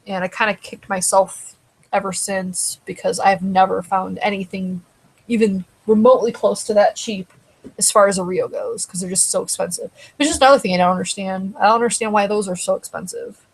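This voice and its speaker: Woman with a husky, gravelly voice. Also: medium pitch, fairly even intonation, a little fast, rough recording. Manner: rambling, conversational, answers chat comments. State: dry throat, voice tiring. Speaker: live show host. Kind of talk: casual live-stream chat.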